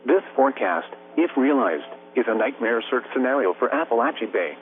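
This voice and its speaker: A man's synthetic computer voice reading a scripted weather bulletin as a radio announcer, formal and measured. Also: medium pitch, expressive, soft background music. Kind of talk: scripted weather bulletin read by text-to-speech. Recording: noisy narrowband weather radio broadcast, humming. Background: radio hum and static.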